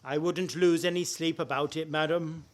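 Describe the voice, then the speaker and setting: A man playing a brazen, breezy fake detective in a radio play farce, cocky and smoothly polite. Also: on the high side, fairly even intonation, moderate pace, close to an everyday voice.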